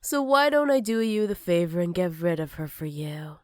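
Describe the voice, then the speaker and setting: A woman's voice: on the low side, big pitch swings, moderate pace, a little mellow, no echo. A woman playing a jealous, possessive villain with magic, teasing and menacing in a sultry ASMR roleplay.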